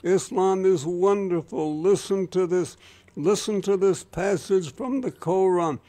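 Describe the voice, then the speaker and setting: A man's voice: high-pitched, a little slow, dark tone. A man hosting a radio interview, chatty and wry in his questions. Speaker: radio talk show host.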